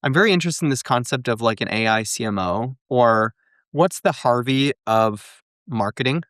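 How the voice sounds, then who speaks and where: A man co-hosting a casual podcast, thinking aloud and leaning on "like". His voice is smooth and resonant, with a dry, close sound. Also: mid-range voice, lively intonation, moderate pace, fairly bright tone, no room sound. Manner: thinking aloud, heavy use of "like". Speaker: co-host.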